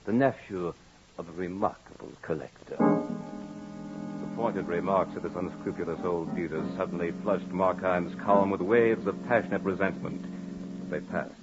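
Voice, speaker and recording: A man narrating a 1940s radio horror tale in a grave, dramatic, literary storytelling manner. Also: low voice. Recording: muffled noisy old radio recording.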